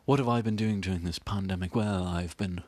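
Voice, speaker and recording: A man's voice: sits low, fairly quick, darkish tone. Smug con-man as a man puts it on. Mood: gloating. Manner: smug, gloating, chuckling.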